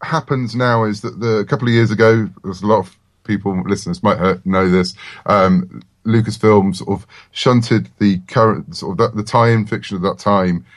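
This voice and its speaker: A man talking casually as a writer guest in a podcast interview, telling stories in a self-deprecating, halting way. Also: lowish voice, steady tone, no room sound.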